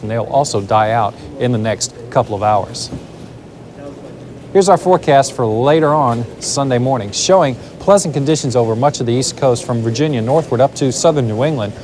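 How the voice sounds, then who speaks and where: A man's voice: mid-range voice, darkish tone. A man presenting a live television weather forecast in a loose, ad-libbed way, with the odd filler. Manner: brisk, loose delivery with fillers.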